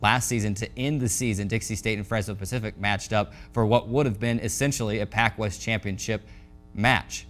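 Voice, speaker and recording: A man's voice: lowish voice, steady tone, brisk, a bit bright, faint music behind. A man hosting a college sports show, delivering a scripted sportscast in an announcer's style.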